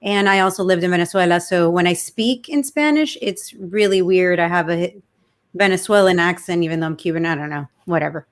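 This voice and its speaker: A woman's voice: on the low side, a little fast. Giggly, hesitant woman co-host. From Cuba. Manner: laughing, hesitant, with many fillers. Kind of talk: casual livestream chat.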